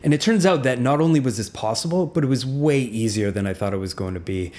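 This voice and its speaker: A man with a slightly raspy voice, narrating a video as the host, curious and candid as he explains. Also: medium pitch, animated, fast talker, darkish tone. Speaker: music software reviewer.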